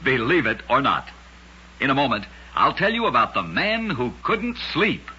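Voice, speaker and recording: A man's voice: mid-range voice, sing-song, moderate pace, bright, forceful tone, slight background noise. A man hosting and narrating scripted old-time radio trivia stories in a dramatic, emphatic manner. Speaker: radio show host and storyteller. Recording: dull, lo-fi old radio recording.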